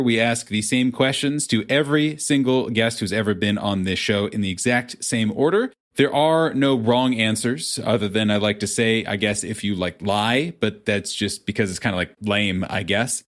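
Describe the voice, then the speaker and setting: A man's voice: fairly low pitch, moderate pace, few pauses. A man hosting a casual interview show, talking in wordy asides with playful mock drama.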